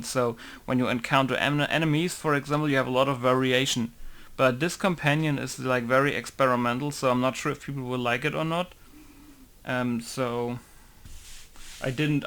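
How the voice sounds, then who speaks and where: A man's voice: medium pitch, fairly bright tone, clean tone. Young man rambling through a modding tutorial. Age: young adult. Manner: rambling, many fillers and self-corrections. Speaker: game modder. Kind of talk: casual tutorial commentary.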